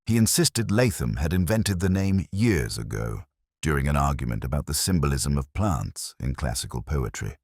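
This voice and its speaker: A man reading a scripted first-person detective story as narrator, in a measured, melancholy, literary manner. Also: extremely low pitch, expressive, a little mellow, no echo, studio quality.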